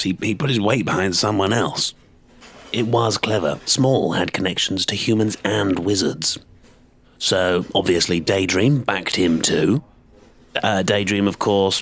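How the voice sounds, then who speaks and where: A man playing a low-voiced gossipy storybook old hand. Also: unhurried, a bit bright, husky, exaggerated voice. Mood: gossipy, amused. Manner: chatty, hesitant, gossipy, chuckling. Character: avuncular male storybook character reminiscing about old politics. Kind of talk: mockumentary interview in audio drama.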